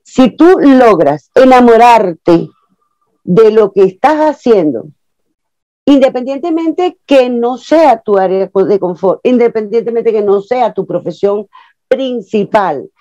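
A woman's voice: fairly high pitch, slow, dry recording. A woman in her sixties from Venezuela, an actress chatting expressively as a guest over a video call from a small room at home. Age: 60s. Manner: expressive, animated storyteller with lots of hand gestures. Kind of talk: casual interview chat. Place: small room at home, plain wall behind. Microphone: earphone mic on a video call. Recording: dull, lo-fi video call audio.

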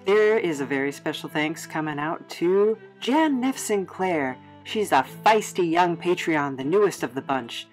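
Low-pitched woman, wry travel narrator. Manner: playful, wry, rambling asides. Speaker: vlog host and narrator. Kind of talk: casual, chatty travelogue voice-over. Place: studio voice-over.

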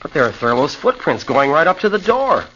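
An actor playing a blunt, excitable sidekick in a 1940s radio drama. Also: male voice, fairly high pitch, brisk. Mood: excited. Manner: exclaiming, blunt. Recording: dull old radio recording with faint hiss.

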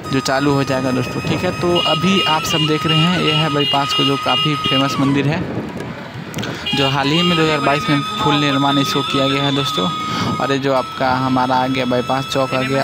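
A young man casually narrating a night motorbike ride through city streets, chatting with his viewers and asking them to like and subscribe. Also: mid-range voice. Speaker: vlogger. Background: loud background music.

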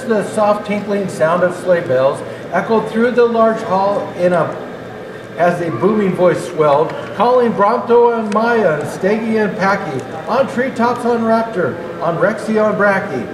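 High-pitched older man reading in an echoey hall. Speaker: Santa Claus storybook reader. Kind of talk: children's storybook reading aloud. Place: indoor natural history museum hall. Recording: echoey hall, clearly noisy background.

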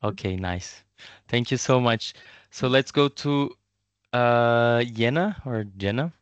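Smooth-voiced man hosting a webinar. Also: medium pitch, slow, no echo, highs cut off. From Brazil and Italy. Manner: hesitant, many fillers, friendly and encouraging. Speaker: webinar host, community manager. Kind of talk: online webinar facilitation. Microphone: video call.

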